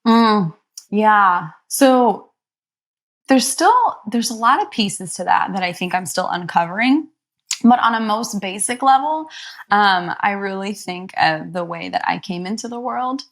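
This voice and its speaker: A young adult woman therapist, born in Egypt, speaking slowly and reflectively as an interview guest, pausing often with soft ums. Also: mid-range voice, lively intonation. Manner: soft, thoughtful, many pauses and ums.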